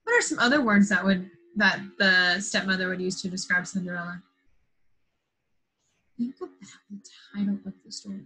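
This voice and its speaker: A woman teacher leading an interactive lesson for young children after a read-aloud, in simple, patient phrases with questions and drawn-out key words. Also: mid-range voice, sharp tone, rough recording. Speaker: primary school teacher.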